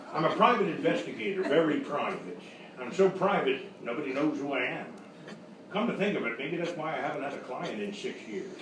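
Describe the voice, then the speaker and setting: An actor playing a wisecracking, down-on-his-luck hard-boiled private eye, deadpan and sardonic, in a radio noir parody. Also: medium pitch, lightly acted. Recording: very echoey, distant, noisy live stage.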